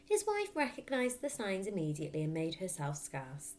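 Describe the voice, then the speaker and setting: A woman reading a children's story aloud as a teacher would, in an expressive narrating style. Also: medium pitch, moderate pace.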